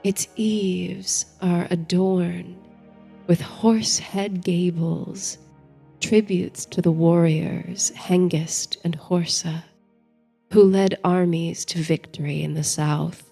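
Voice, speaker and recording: Woman reading a sleep story, soft dark tone w lots of vocal fry, soothing n measured, bit of music behind. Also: on the low side, fairly even intonation. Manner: soothing, measured, dreamy delivery. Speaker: host and narrator of a sleep story series. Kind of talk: bedtime story narration, guided meditation.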